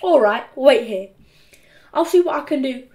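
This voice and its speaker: A woman's voice: high voice, expressive, normal speed, darkish tone. A woman voicing a scheming, greedy young boy in a storybook, with a brazen, grumbling manner. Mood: bargaining.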